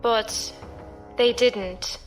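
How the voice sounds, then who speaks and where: High, slow, earnest young-sounding woman. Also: steady tone, faint music behind. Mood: sympathetic. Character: earnest, tender-hearted female passenger among space pirates. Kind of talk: science fiction audio drama acting.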